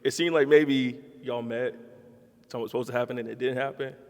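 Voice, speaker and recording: A man's voice: mid-range voice, fairly even intonation, fast talker, a little mellow. A man in his late twenties, a dating show contestant, blunt but easygoing with many fillers. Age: 20s. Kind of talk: casual chat. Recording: echoey hall.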